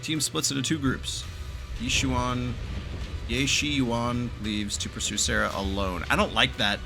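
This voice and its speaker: A young man casually reacting to a game as he plays, chatty and excitable, with the odd swear word. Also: medium pitch, a little slow, vocal fry, music in the background.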